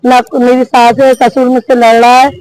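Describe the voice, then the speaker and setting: A woman's voice: a little high, flat delivery, normal speed, a little mellow, rough recording. A woman answering a reporter's questions directly and plainly. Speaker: complainant.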